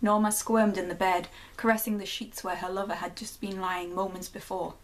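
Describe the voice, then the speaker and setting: A woman's voice: medium pitch, little pitch movement, normal speed, low-quality recording. A young woman with a Geordie accent chatting casually on her vlog and then reading her own short story aloud, laughing at herself now and then. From North East England. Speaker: vlogger.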